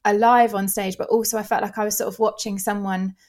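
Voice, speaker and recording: A woman hosting a relaxed music chat, chatty and animated, often laughing as she tells stories. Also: medium pitch, quick pace. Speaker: host. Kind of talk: casual chat.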